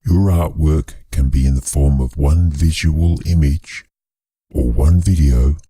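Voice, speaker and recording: A man with a gravelly voice giving a scripted appeal to viewers as a storyteller, in a formal, wordy, drily playful manner. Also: a bass voice, unhurried, mellow, no room sound, studio quality. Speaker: storyteller and creator addressing viewers.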